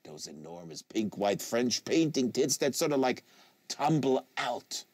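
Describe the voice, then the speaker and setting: A man's voice: medium pitch, very animated, unhurried, a little mellow, slightly rough, no echo. Man acting a crude, lustful teenage boy. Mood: dreamy longing.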